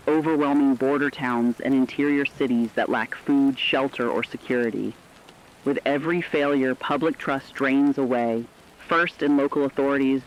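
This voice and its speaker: Male actor as a somber radio drama reporter, mid-pitched, urgent and deliberate, slightly muffled lo-fi recording. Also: close to an everyday voice. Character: somber radio field reporter describing collapse. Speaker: news correspondent. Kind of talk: scripted emergency radio broadcast.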